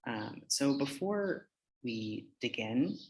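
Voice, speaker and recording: A man's voice: medium pitch, very animated, takes it slowly, darkish tone, low-quality recording. A man with an American accent giving an informal slide presentation in a conversational, hesitant manner. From United States. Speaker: teacher giving a conference presentation.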